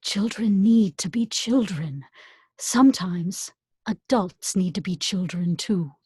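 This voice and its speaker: Woman playing a very husky, unhurried grandmother. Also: medium pitch, mellow, dry recording. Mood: gentle, earnest. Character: elderly English grandmother, gently urging compassion. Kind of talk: table read of a film script.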